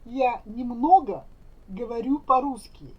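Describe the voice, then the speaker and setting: High, lively woman teacher enunciating carefully. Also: slow, husky. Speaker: Russian language teacher. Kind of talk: slow lesson phrases repeated for learners. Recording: dull lo-fi recording.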